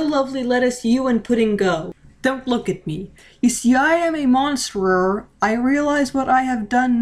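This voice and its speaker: A woman's voice: on the high side, darkish tone, pitched above the performer's own voice, lightly acted. A woman reading aloud and voicing a lonely, grandiose male vampire prince who speaks pompously. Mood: sorrowful. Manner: pompous, theatrical villain speech.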